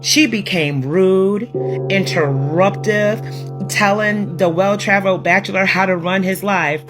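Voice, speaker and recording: A woman telling a story in a chatty, amused way and laughing between her remarks. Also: lowish voice. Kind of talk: casual storytelling. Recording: guitar music under the voice. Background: music playing.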